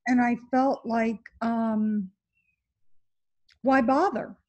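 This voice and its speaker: A woman's voice: fairly high pitch, steady tone, extremely slow, a little mellow, dull audio. A woman coaching client talking casually and hesitantly about her work experiences, often giving short agreements. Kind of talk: casual chat.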